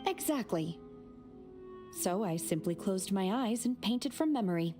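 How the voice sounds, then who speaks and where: A woman voicing a calm, earnest and literal-minded young disciple in a video game, speaking in a measured, matter-of-fact way. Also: mid-range voice. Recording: music playing behind the voice.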